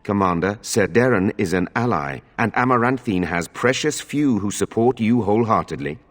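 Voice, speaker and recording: A stern captain of the guard in a fantasy game. His voice is fairly low and slightly rough, formal and accusing, ringing in a long-echoing hall. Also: lively intonation, normal speed. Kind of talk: video game voice acting.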